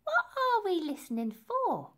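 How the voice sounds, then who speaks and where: A woman voicing a scatty, dramatic but kind-hearted cleaning lady in a storybook, with exclamatory, drawn-out delivery. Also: extremely high pitch, huge pitch swings, fairly bright tone, pitched above the performer's own voice, heavily acted. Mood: restless, puzzled.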